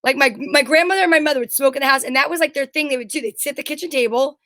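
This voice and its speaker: High-pitched woman chatting bluntly and casually. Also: rapid-fire, pushed and bright, no echo, studio quality. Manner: blunt, emphatic, swears casually; rambling anecdotal storytelling. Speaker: show co-host. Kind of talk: casual chat.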